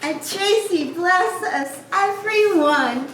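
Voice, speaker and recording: A woman's voice: extremely high pitch, expressive, slow, fairly bright tone, exaggerated voice. A woman playing a sweet, earnest little child in an amateur dramatic reading. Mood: merry, earnest. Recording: very echoey room, distant mic, faint noise.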